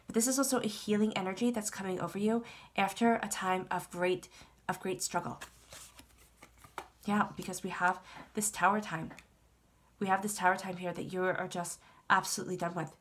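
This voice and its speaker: A woman's voice: lowish voice, slightly rough. A woman reading tarot for her channel, congested with a cold, talking in a soothing, reassuring way and often repeating herself. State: stuffed up from a cold. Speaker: tarot reader. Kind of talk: tarot reading monologue to camera.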